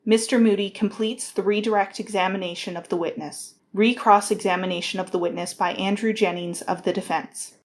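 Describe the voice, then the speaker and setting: A woman's voice: mid-range voice, fairly even intonation, brisk, few pauses, slight background noise. A woman giving formal scripted narration for a dramatic reading of a trial.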